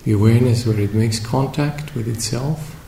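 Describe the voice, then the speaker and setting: A man leading a guided meditation as a teacher, speaking reflectively in short phrases with long pauses between them. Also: medium pitch, creaky, dark tone. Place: indoor room at a meditation retreat. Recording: roomy echo with faint background noise.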